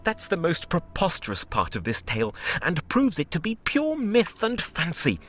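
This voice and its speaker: An actor playing a scholarly, sceptical translator of ancient books in a video game, with bookish, theatrical delivery. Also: male voice, a little high, huge pitch swings, moderate pace, fairly bright tone, faint music behind, heavily acted. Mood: dismissive.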